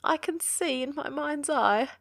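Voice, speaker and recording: Woggle-Bug, a shrill pompous bug. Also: male voice, measured pace, gravelly, fairly bright tone, exaggerated voice. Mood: distressed. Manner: pompous, fretful. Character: pompous insect professor, male, fussy.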